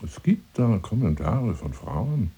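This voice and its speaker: A deep, pompous, lonely seducer. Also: male voice, very animated, slow, warm tone, breathy edge, exaggerated voice. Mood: self-satisfied. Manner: grandiloquent, insinuating, swinging between whispers and declamation. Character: pompous, lonely, seductive male hunter monologuing at a woman. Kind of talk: theatrical radio drama monologue on the telephone.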